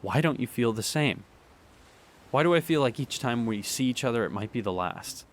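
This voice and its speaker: A young man playing a heartbroken boyfriend in an audio drama monologue, speaking earnestly and haltingly. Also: mid-range voice, lively intonation, slight background noise, close to an everyday voice. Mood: bitter, longing. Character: heartbroken young boyfriend pleading with his distant partner.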